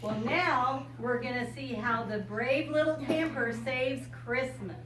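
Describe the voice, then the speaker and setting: A woman's voice: high-pitched, a little slow. An older American woman talking informally and reading to young children, explaining things simply. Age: 60s or older. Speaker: storyteller and RV enthusiast visiting children. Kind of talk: informal talk and picture-book reading to children. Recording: echoey room, distant mic, loud background noise.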